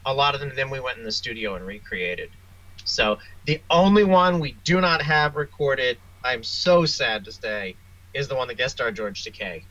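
A man speaking as an interview guest, a writer telling wry, self-deprecating anecdotes in a casual, animated way. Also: mid-range voice, normal speed, dull audio, a little hiss or hum.

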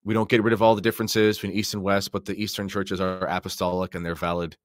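A deep-voiced man talks as a guest commentator on a podcast. He is informal and somewhat halting, thinking aloud, in a dry recording. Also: flat delivery, no echo. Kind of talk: casual conversational commentary.

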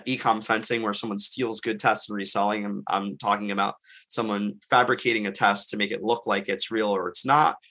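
A man's voice: lowish voice, bright, forceful tone. Congested man in a rambling panel talk. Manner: rambling, frequent fillers and restarts. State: congested, getting over illness.

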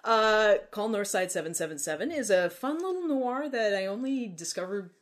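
Lively chatty woman hosting. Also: medium pitch, normal speed. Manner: chatty and playful, hums tunes. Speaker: podcast host. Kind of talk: casual film podcast chat.

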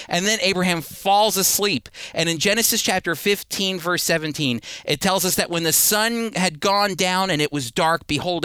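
A man delivers a sermon in a bright, forceful voice, slightly high for a male speaker. His sound is clean and resonant. He teaches scripture as a story, landing key phrases with emphasis and pausing deliberately before addressing listeners directly. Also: clear, resonant tone, dry recording. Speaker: preacher teaching a Bible series.

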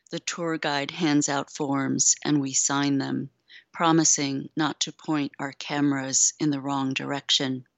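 A woman poet being interviewed, who speaks slowly and reflectively with many pauses and fillers, and also reads her own poem aloud. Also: deep voice, a bit bright, no room sound. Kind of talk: thoughtful interview talk and poetry reading.

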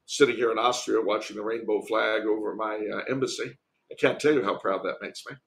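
Male podcast guest, American, gravelly creak, wry and emphatic opinionated talk over a remote call. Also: lowish voice, fairly even intonation, a little fast, fairly bright tone. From United States. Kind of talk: conversational opinion and commentary. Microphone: remote internet call.

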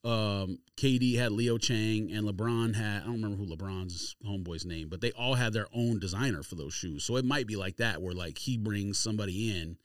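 A man's voice: fairly low pitch, fairly quick, hardly any pauses, no echo, clean studio sound. A man hosting a podcast, chatting casually about sports and sneakers in an emphatic, opinionated, slangy way. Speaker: podcast host.

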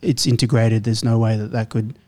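A male interviewer with a level, mellow voice. He meanders through his question, thinking aloud with small restarts, in a relaxed conversational way. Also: fairly low pitch, brisk.

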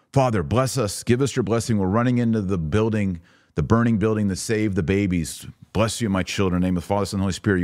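Man, deep voice, dry recording, opinionated religious podcast host, informal, argumentative, emphatic delivery. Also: darkish tone, dry recording. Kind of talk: opinionated religious commentary, reading a document aloud and reacting.

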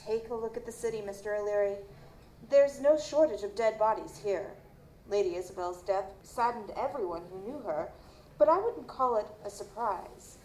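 A woman playing a guarded, formal chief medical examiner in an audio drama, measured and dismissive. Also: medium pitch, a little mellow, lightly acted. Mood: measured, evasive. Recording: roomy, noisy live stage recording.

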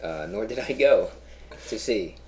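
Low-voiced man asking easy questions. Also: animated, a little slow, mellow, slight background noise. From Philadelphia. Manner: easygoing, prompting questions, frequent "yeah". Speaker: podcast host. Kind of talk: casual interview.

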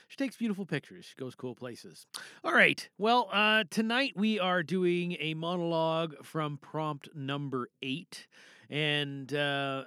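A man's voice: fairly high pitch, dry recording, clean studio sound. A man hosting a podcast in a chatty, theatrical way, with rambling casual asides. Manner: animated, theatrical announcing mixed with rambling asides. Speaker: podcast host. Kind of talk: casual, chatty show introduction.

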